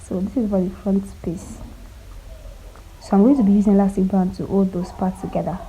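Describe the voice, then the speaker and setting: A woman with a cold walking viewers through cutting and sewing a top, speaking quietly and stopping often to check they are following. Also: steady tone, a little fast, a little hiss or hum, rough recording. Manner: quiet, low-volume delivery. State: has a cold. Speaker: sewing tutor. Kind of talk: casual step-by-step sewing tutorial.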